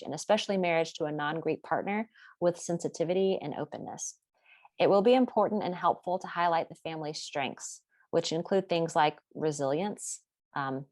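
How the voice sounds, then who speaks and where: Low-voiced woman giving a class presentation. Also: no echo. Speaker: student presenter.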